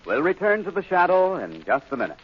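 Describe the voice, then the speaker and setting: A man's voice: on the high side, wildly swooping pitch, fairly quick, heavy background noise. American man, radio drama announcer, scripted dramatic narration, sweeping intonation, muffled recording.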